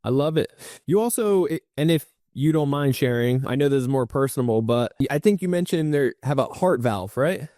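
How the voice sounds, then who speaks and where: A man's voice: medium pitch, animated, moderate pace, warm tone, no room sound, studio quality. A man hosting a podcast in a casual, upbeat, encouraging interview style. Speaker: podcast host. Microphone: remote virtual call recording.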